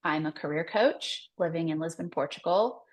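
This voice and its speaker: A woman's voice: low-pitched, normal speed. An American woman explaining her work as a career coach in a conversational, loosely phrased interview style. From United States. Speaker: career coach, podcast guest.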